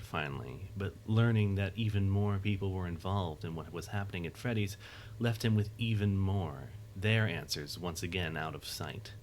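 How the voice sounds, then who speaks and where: A man with a low voice narrates a dramatic story. He reads scripted prose as the storyteller of an audio drama. Faint noise and ambience sit behind him. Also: rough recording.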